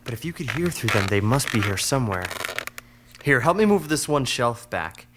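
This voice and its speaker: An actor playing a wry, resourceful captive teenage younger brother in an audio drama, dry and matter-of-fact. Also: male voice, mid-range voice, sing-song, moderate pace, background noise, close to an everyday voice. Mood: wry, determined.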